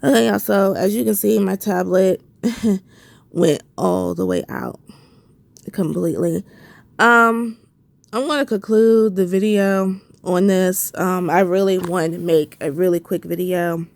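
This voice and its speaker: Young female vlogger with a head cold, stuffy and gravelly, heated casual rant, low-ish voice. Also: steady tone, unhurried, no room sound. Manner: heated, repetitive, rhetorical questions. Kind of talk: casual ranting commentary.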